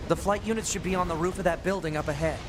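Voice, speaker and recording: A man's voice: high-pitched, very fast, natural voice. An actor playing a cautious, earnest young male android soldier in a video game, briefing a partner. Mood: cautious, urgent. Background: game music and battle sound.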